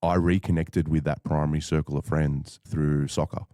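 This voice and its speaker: A man with an extremely low, warm, mellow voice speaks as a podcast guest. He tells his story in a measured, reflective way, often pausing on fillers. The sound is dry and close, without room echo. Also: flat delivery. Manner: measured, thoughtful, with frequent fillers.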